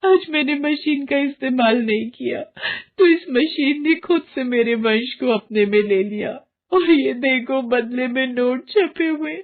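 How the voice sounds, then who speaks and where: Woman acting a high, melodramatic greedy young housewife. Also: a little slow, narrow, tinny audio. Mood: panicked, weeping. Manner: emphatic, melodramatic. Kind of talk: Hindi moral-story cartoon dialogue.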